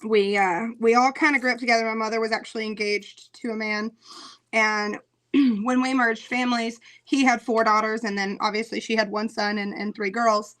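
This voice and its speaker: A woman singer talking casually in an interview, chatty, laughing often, with many "um" pauses. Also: a little high, level tone, fairly bright tone.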